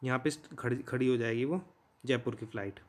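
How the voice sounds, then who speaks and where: A man's voice: medium pitch, fairly even intonation, a little fast. Indian man explaining informally, often checking in. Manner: conversational, frequently checks in with listeners. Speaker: teacher, explainer. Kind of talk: informal explanatory lesson in Hindi mixed with English terms.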